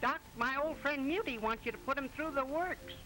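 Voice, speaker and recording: A man's voice: very high-pitched, a little fast, bright, forceful tone, low-quality recording. A man voicing a cheerful, boastful cartoon film character with brash, slangy delivery on an old 1920s film soundtrack. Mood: proud, eager.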